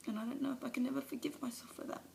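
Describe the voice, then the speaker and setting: A woman's voice: on the low side, little pitch movement, moderate pace, a little hiss or hum, close to an everyday voice. A woman performing a monologue as a remorseful recovering alcoholic mother, speaking confessionally and sometimes dropping to a whisper, recorded on a phone camera. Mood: anguished.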